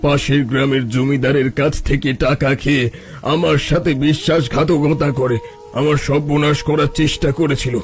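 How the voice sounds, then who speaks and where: A weary, sorrowful holy man from a cartoon tale, played by a man. His voice sits a little high and laments in a plaintive way. Faint music plays behind him. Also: little pitch movement, moderate pace, close to an everyday voice. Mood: sorrowful, bitter. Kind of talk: cartoon storytelling voice acting.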